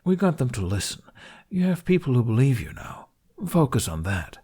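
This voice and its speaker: A man's voice: medium pitch, very animated, normal speed, warm tone, breathy edge, pitched above the performer's own voice. A man voicing a wry, forbearing dragon in an audiobook, speaking to his rider in a dry, emphatic, long-suffering way. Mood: reasoning. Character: wry, forbearing male dragon companion to a boy.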